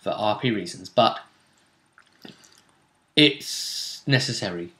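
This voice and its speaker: A man's voice: lowish voice, animated, takes it slowly, a bit bright. A man chatting casually about a tabletop game, often rambling and restarting his phrases. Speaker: role-play show host. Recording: echoey room.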